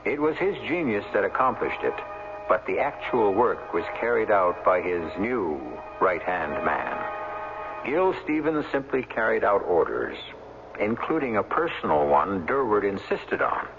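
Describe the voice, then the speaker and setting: A man's voice: lowish voice, sing-song, unhurried. Vintage radio mystery host: man, formal and theatrical, widely varied intonation, narrow broadcast sound over music. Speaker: host and narrator. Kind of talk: theatrical scripted radio narration. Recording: tinny 1970s radio broadcast, music behind.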